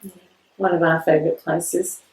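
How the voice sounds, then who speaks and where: A woman's voice: low voice, little pitch movement, normal speed, a little mellow, echoey room, poor audio. A woman, introducing herself and her partner as house sitters in a light, chatty way. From England. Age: 50s. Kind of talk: casual self-introduction video.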